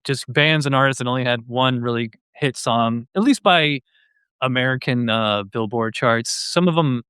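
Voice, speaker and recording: A man's voice: mid-range voice, moderate pace, a bit bright, clean tone, no room sound, studio quality. A man hosting a podcast in a casual, conversational style. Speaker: podcast host.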